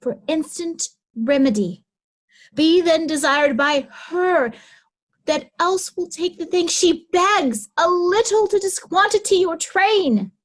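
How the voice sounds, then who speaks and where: A woman's voice: very high voice, takes it slowly. A woman playing a haughty royal daughter in a Shakespearean reading, formal and reproving. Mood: demanding. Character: haughty royal daughter scolding her aged father.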